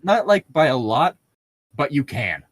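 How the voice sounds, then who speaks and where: A man's voice: a little high, very animated, unhurried, background noise. A man casually reading fan fiction aloud with friends, cutting in with sweary, mocking asides. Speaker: fan fiction podcast reader. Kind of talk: casual comic read-aloud and banter.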